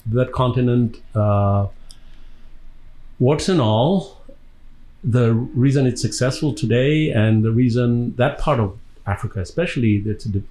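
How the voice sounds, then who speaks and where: A man in his sixties who grew up in Tanzania speaks as an interview guest. His delivery is conversational and reflective, with hesitant pauses, at a middling pitch. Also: dark tone, a little hiss or hum, poor audio. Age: 60s. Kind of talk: conversational personal storytelling.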